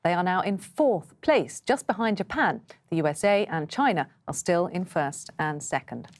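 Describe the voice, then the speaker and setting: A woman, a news presenter interviewing guests, with brisk conversational questioning. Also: lowish voice, animated, no room sound, studio quality.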